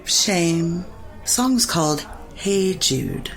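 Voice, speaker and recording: A man voicing a flirtatious woman in her thirties in a storybook reading, with a breathy, drawn-out delivery. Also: a little high, sing-song, a bit bright, soft background music, pitched above the performer's own voice, full character voice. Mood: teasing.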